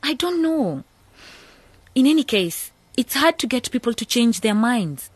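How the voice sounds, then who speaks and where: A wary, thoughtful young youth leader in a village radio drama. Her voice is slightly high and husky, recorded dry. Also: big pitch swings, a little slow, no echo, full character voice. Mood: skeptical. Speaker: youth association president.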